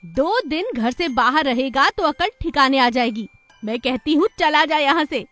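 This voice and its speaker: Man putting on a high-pitched, scolding village mother. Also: normal speed, pushed and bright, soft background music. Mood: furious scolding. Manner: shrill, scolding. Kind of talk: animated moral story dialogue.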